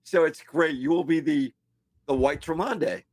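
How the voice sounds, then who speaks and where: A man co-hosting a podcast, rambling and playful, quick to mock himself. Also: a little high, a little mellow, no echo. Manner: rambling, playful, self-mocking. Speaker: podcast co-host. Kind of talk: casual podcast chat.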